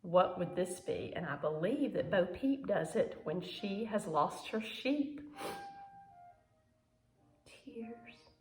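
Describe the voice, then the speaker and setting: A woman's voice: medium pitch, expressive, slow pace, pauses often, a little mellow. A woman teaching a pantomime and ballet lesson on video, demonstrating as she talks with dramatic emphasis. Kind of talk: instructional video dance lesson. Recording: echoey room with music.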